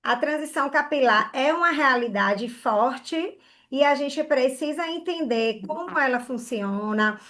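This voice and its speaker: A woman's voice: high-pitched, fairly bright tone. A woman from Bahia, giving an online hair care class in an earnest way and often checking in with "né?" and "tá?". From Bahia, Brazil.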